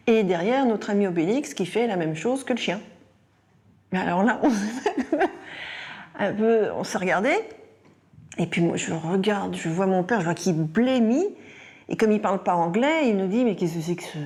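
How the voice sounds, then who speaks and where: Female interviewee, medium pitch, conversational storytelling. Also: a little mellow.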